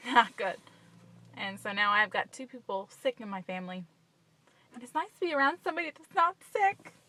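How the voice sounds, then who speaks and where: A woman's voice: a little high, expressive, moderate pace, pushed and bright. A woman in her twenties with an American accent, chatting casually to camera as a vlogger. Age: 20s. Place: inside a parked car at night. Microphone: phone at arm's length.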